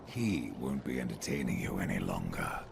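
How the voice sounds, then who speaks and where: Very gravelly, grim deep horseman. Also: very animated, normal speed, background noise, heavily acted. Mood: defiant, commanding. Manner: terse, commanding, sardonic. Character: grim, blunt horseman warrior of death. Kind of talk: video game cutscene acting.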